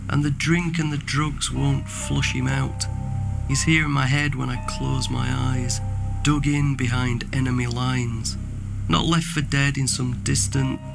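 A man reading a poem dramatically as a haunted soldier, deliberate and intense. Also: medium pitch, gravelly. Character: haunted male British soldier recalling a killing. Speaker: poetry reader.

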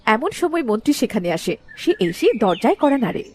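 A woman's voice: a little high, big pitch swings, fairly quick, slight background noise. A woman narrating a cartoon fairy tale in a scripted storytelling style.

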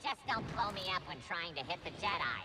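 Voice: mid-range voice, big pitch swings, fairly quick, background noise. An actor voicing a hapless, insecure battle droid in a video game, nasal and whiny through a robot filter. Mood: petulant, chatty. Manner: whiny, petulant. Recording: faint game ambience behind.

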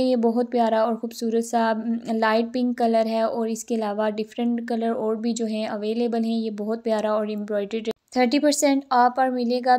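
Chatty high-voiced woman vlogger. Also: a little mellow. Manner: chatty, repeats praise words, lists prices. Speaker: shopping vlogger. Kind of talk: casual store walkthrough narration. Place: clothing store indoors.

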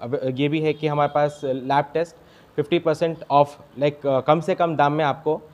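A young man, a doctor answering interview questions haltingly, with broken starts and English medical terms. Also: a little high, flat delivery, a little mellow. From India. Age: young adult. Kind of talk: interview answers at a health camp. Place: small clinic room, indoors. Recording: dull lo-fi sound.

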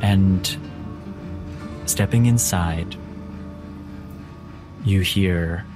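A man as game master, narrating scenes in a measured, descriptive way with dramatic pauses. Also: sits low. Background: music.

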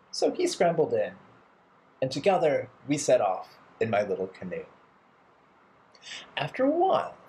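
A man in his thirties narrating a children's storytime playfully and theatrically, with deliberate phrasing. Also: a little high, a little mellow, poor audio. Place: bright living room indoors.

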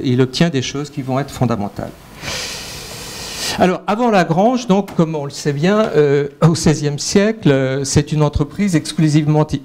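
A middle-aged man with a French accent delivers a conference talk on mathematics in a lecture hall. His voice sits slightly higher than most men's. He stops and restarts his sentences often, filling gaps with hesitation sounds, and speaks into a handheld microphone. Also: a little mellow. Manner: hesitant, many fillers and restarts. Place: lecture hall with projector screen.

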